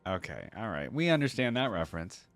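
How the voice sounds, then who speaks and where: A man's voice: on the low side, sing-song, a little fast, fairly bright tone, soft background music. A man hosting a reaction video, giving casual commentary and thinking aloud as he goes.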